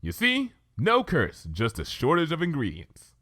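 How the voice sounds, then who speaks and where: A man's voice: on the high side, huge pitch swings, measured pace, fairly bright tone, no echo, studio quality, pitched above the performer's own voice, full character voice. A man voicing an indignant, bookish young female unicorn from a cartoon, prim and argumentative in a story reading. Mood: indignant, insistent.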